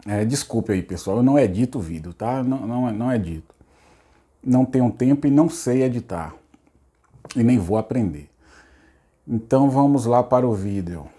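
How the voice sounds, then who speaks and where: A hoarse middle-aged man, a psychologist giving an unscripted self-help talk on spirituality, repeating himself and asking rhetorical questions. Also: mid-range voice, lively intonation, moderate pace, pauses often, dark tone. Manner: repetitive, rhetorical, casual asides. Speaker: psychologist hosting a channel.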